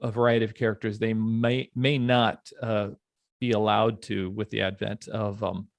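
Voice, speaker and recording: A man's voice: lowish voice, little pitch movement, moderate pace, gravelly, no room sound, studio quality. A man hosting a casual podcast chat in an enthusiastic, effusive manner. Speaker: podcast host.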